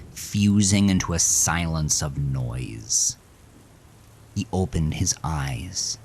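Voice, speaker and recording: A man narrating a horror story with brooding intensity. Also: low voice.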